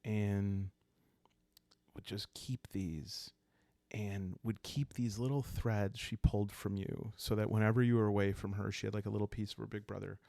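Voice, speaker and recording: A low-pitched man talks in a calm, unhurried way through a solo storytelling game. His voice has a gravelly fry to it, and the recording is dry and close, with no room around it. He improvises scenes and thinks out loud, in a style meant to help listeners doze off. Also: no room sound. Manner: soft-spoken, calm and unhurried, thinking aloud. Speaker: host playing a solo journaling roleplay game.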